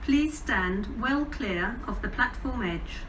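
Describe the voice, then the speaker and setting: A woman with an English accent reading formal, measured automated railway announcements in pieced-together phrases over a station public address system. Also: on the high side, expressive. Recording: distant station PA speakers, clear background noise.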